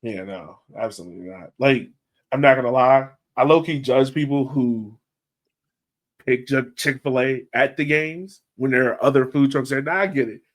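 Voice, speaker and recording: A man's voice: medium pitch, moderate pace. A man hosting a podcast in casual chat, with an informal, slangy and emphatic way of talking. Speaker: podcast host reading listener questions.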